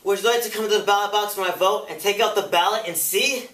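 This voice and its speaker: A man's voice: sits high, quick pace, sharp tone, pitched above the performer's own voice. A man performing a defiant, indignant male activist testifying before a committee, emphatic and oratorical, in a dramatic stage interpretation recorded from afar in an echoey room. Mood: challenging. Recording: echoey room, picked up from far off.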